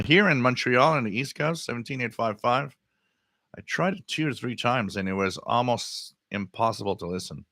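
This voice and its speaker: Male livestream host. Slightly low, crisp bright tone, halting off-the-cuff delivery, casual replies to chat. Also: no echo, studio quality. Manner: halting, thinking aloud. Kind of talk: casual live stream chat, answering viewer comments.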